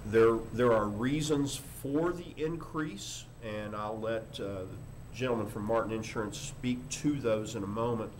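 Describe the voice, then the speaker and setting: Slow, halting older man with low voice. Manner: halting, measured, with frequent pauses. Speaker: school superintendent. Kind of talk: formal spoken report at a board meeting. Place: indoor board meeting room. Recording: noisy meeting room microphone.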